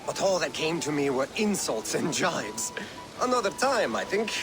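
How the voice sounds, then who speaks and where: A man's voice: on the high side, big pitch swings, normal speed, over music. A man playing a mischievous, rueful poet in a video game, with lilting, theatrical delivery. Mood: rueful, playful. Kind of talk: video game dramatic dialogue.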